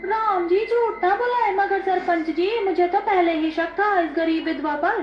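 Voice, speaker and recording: A woman's voice: extremely high pitch, a little slow. A woman playing a spiteful, superstitious village gossip in a moral story, accusing and scornful. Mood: insinuating. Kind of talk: dramatized moral story dialogue. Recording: echoey, dull, faint music behind.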